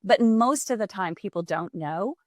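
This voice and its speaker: A woman who runs a publishing company, talking as a podcast guest in a quick, animated way with frequent restarts. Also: mid-range voice, dry recording, studio quality. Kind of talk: casual podcast conversation.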